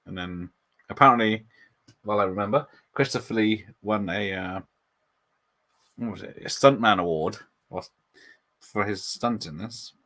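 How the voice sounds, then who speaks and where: Casual watch-party host: a man with a slightly low voice who rambles through film trivia and talks back to his chat. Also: measured pace, pauses often, fairly bright tone. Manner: rambling, chatty, laughs often, reads out chat comments. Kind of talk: casual live movie commentary.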